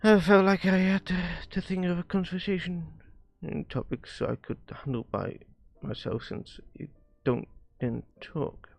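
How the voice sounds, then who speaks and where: A man streaming a visual novel, reading its dialogue aloud haltingly and often stumbling and rereading lines. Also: high voice, fairly even intonation, gravelly. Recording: music behind the voice. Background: music.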